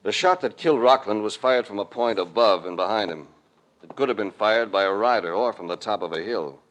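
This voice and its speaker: An actor playing a cool, commanding investigator in an old radio mystery, with terse and authoritative delivery. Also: male voice, on the low side, expressive, brisk, lightly acted. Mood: accusing, firm. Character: cool, commanding male investigator, hard-boiled and shrewd. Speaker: lead actor and narrator. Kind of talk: 1940s-50s radio detective acting. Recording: vintage radio drama recording.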